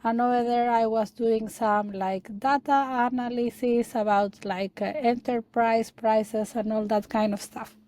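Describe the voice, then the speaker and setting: Woman from Chile, halting and soft. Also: on the high side, fairly even intonation. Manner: halting, many fillers and restarts. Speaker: guest, data analyst. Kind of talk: casual interview talk.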